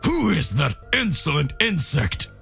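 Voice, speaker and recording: A man's voice: fairly high pitch, big pitch swings, a little slow, gravelly, bright, forceful tone, faint music behind, full character voice. A man voicing a proud, arrogant alien warrior king with a haughty, imperious manner in a story. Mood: furious, indignant.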